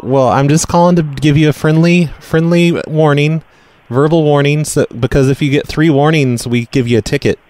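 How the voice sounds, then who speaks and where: A man making a casual prank phone call in a deadpan, hesitant manner, rambling and repeating himself as he goes. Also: fairly high pitch, normal speed. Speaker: prank caller, show host.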